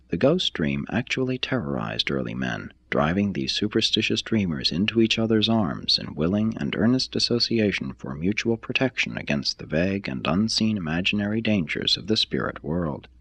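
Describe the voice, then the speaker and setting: A man reading a written text aloud like an audiobook, in a measured, formal, deliberate manner. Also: low-pitched, a bit bright, slightly rough, no echo. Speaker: reader of a text. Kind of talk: scripted reading, audiobook-like narration.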